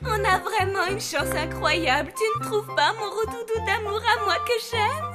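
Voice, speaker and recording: A woman's voice: extremely high pitch, normal speed, over loud music. A woman voicing a gushing, girlish, lovestruck young wife in a cartoon, cutesy and easily worried.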